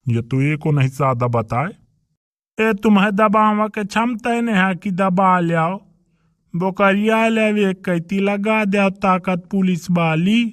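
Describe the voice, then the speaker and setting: A quarrelsome rustic man from a comedy cartoon , acted out by a man, speaks in a high, clean voice with a Bundelkhandi accent. He scolds and blusters in a drawn-out delivery, recorded dry and close. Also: dry recording. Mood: argumentative. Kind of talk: dialect comedy cartoon dialogue.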